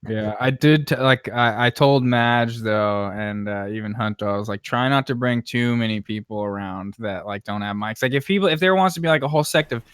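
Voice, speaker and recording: Male streamer, mid-pitched smooth voice, close dry sound, loose informal talk. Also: a little fast, few pauses, fairly bright tone, no room sound. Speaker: live streamer. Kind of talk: casual chat.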